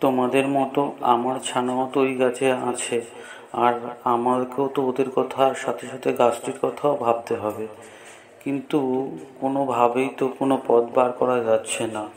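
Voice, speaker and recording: Man voicing a thoughtful, even-toned cartoon bird. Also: medium pitch, natural voice. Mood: thoughtful, worried. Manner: hesitant, deliberate. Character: worried, thoughtful father bird devising a plan. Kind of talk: cartoon story voice acting.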